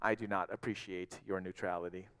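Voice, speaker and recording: A man's voice: low-pitched, steady tone, fairly quick, a bit bright, clean tone, voice pushed down. A man voicing a small male mouse in a storybook tale, courteous, plaintive and aggrieved, with long hall reverb. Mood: muttering, aggrieved.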